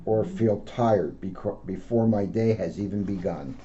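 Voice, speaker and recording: A man, a police officer, reading aloud to children in simple, deliberate phrases. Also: lowish voice, noisy background. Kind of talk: read-aloud storytelling with casual asides. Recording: dull lo-fi sound.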